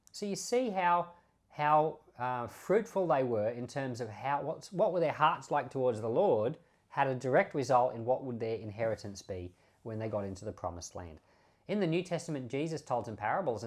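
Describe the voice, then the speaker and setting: Man giving conversational Bible teaching. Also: mid-range voice. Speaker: pastor and podcast host. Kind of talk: informal Bible teaching commentary.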